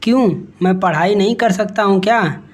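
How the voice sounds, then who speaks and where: A woman's voice: mid-range voice, normal speed, voice pushed down, natural voice. A woman voicing a brash, manipulative young male college boyfriend in a story. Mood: defensive. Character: cocky, scheming young street-tough college boyfriend.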